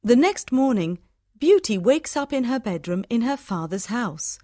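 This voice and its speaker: A woman storyteller reading a scripted fairytale for English learners in measured, short, simple phrases. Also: fairly high pitch, very animated, warm tone, no echo, studio quality.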